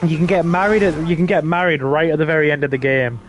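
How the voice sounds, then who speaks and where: A man's voice: a little high, fairly even intonation, fast talker, poor audio. A man chats his way through a game stream in a slightly raised voice. His talk rambles with fillers and restarts. Game noise hums faintly behind him. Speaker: streamer host. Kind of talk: casual gaming stream chat.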